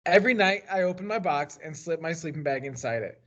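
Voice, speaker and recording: A man's voice: fairly high pitch, lively intonation, brisk, fairly bright tone. An American man reads a children's novel aloud as a teacher would, stressing the starts of phrases and letting the endings fall. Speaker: teacher reading a book aloud. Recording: dull, lo-fi sound.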